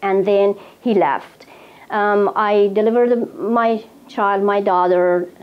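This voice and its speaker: A woman from Iran, about fifty, speaking in English as an author telling her life story to a small audience in an office room, in an earnest, halting way with many pauses and fillers. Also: mid-range voice, fairly even intonation, clear, resonant tone, muffled recording. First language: Persian. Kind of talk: personal talk to a small audience. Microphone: lapel mic.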